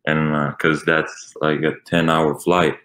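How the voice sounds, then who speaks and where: Very deep-voiced young Swiss man. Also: slow. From Switzerland. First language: Italian. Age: young adult. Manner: relaxed, many fillers. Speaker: college basketball player. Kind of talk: interview answers.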